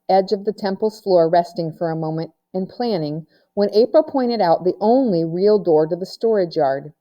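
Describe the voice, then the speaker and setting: Mellow woman reading a story aloud. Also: mid-range voice. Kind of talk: children's novel read-aloud narration.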